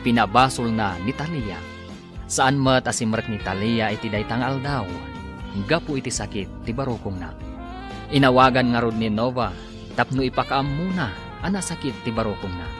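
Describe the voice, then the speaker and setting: A man's voice: mid-range voice, animated, normal speed. Ilocano man announcing over music. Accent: Filipino, Ilocano. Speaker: radio drama announcer. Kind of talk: scripted dramatic narration.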